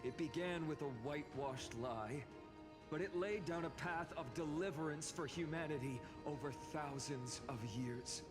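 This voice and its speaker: Solemn fantasy game hero male actor, measured and declamatory, lightly raised pitch, music behind. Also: close to an everyday voice. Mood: solemn, resolute. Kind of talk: scripted video game dialogue. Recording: long hall reverb, music behind.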